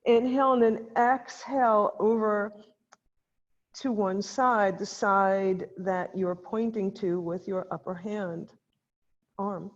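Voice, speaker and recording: A woman leading a guided chair yoga class as the instructor, giving gentle step-by-step cues with pauses, in a muffled, reverberant recording. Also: medium pitch, steady tone. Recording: dull sound in a long-reverb hall.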